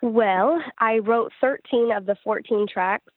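A woman's voice: on the high side, slow, slightly rough, no echo, phone-quality sound. A woman singer-songwriter answering interview questions in an earnest, reflective, conversational way.

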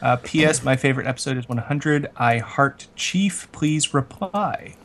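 Man, slow delivery, mid pitch, podcast co-host, sarcastic, mock-formal letter reading. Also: fairly bright tone, a little hiss or hum. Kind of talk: casual chat and reading out listener mail replies.

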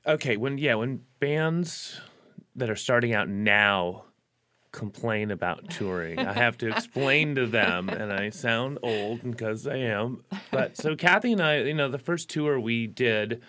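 Bright-voiced man musician rambling casually. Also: medium pitch, measured pace. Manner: rambling, frequent fillers and restarts. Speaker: touring musician guest. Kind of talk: casual interview talk.

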